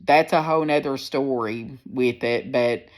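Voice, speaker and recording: A woman in her sixties with a deep, firm voice, talking candidly and rambling a little as she speaks to her viewers into a phone. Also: a little slow, darkish tone. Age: 60s. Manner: candid, rambling, plain-spoken. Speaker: personal vlogger. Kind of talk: casual personal storytelling to viewers.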